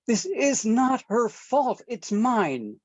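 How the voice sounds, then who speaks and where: A woman's voice: a little high, very animated, takes it slowly, no echo, full character voice. A woman reading the part of a troubled father torn between his family members, pleading and apologetic, at a script table read. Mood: strained. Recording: dull, dry lo-fi sound.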